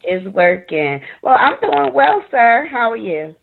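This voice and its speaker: A woman's voice: on the high side, very animated, a little slow, creaky, phone-quality sound. A woman co-hosting a talk show in casual chat, calling in over a phone conference line. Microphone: phone call.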